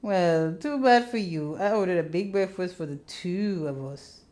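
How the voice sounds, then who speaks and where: A woman's voice: fairly low pitch, unhurried, dark tone, natural voice. A woman voicing a teasing, caring young man in his twenties, a natural charmer in a visual novel. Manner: teasing, coaxing.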